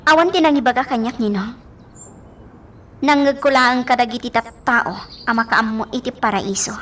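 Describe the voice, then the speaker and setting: A woman's voice: on the high side, normal speed, fairly bright tone, close to an everyday voice. Insistent young lady of the mansion woman acting. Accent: Filipino, Ilocano. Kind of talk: Ilocano radio drama acting.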